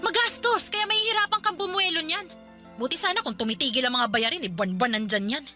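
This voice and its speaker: An actress playing a stern but caring older sister in a radio drama, blunt and scolding with sharp retorts. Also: female voice, sits high, fast talker, soft background music. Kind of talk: Filipino radio drama acting.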